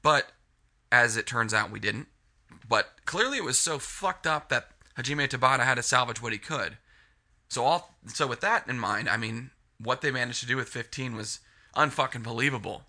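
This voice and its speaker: A man's voice: mid-range voice, sharp tone. Sniffly, swearing man ranting about games. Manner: frustrated, rambling, heavy swearing. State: sniffly from a cold. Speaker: video game reviewer. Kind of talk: casual ranting game review.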